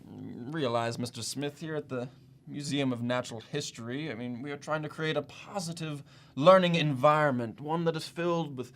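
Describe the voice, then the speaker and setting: Guy acting as a fussy museum official in a comedy monologue, kinda high voice, stammers and rambles, apologetic but snooty. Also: normal speed, fairly bright tone. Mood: awkward, condescending. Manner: hesitant, stammering, rambling, self-correcting. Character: awkward, apologetic male museum official turning condescending and exasperated. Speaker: actor in a monologue. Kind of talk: acted comic monologue.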